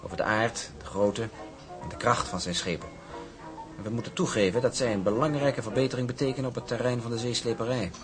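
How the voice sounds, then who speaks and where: An actor playing a suave, scheming heir to a shipping business in a radio drama, urbane and ironic, with formal diction. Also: male voice, medium pitch, steady tone, fast talker, music in the background, lightly acted. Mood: smug, calculating. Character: suave, scheming shipping magnate's son, charming and smug.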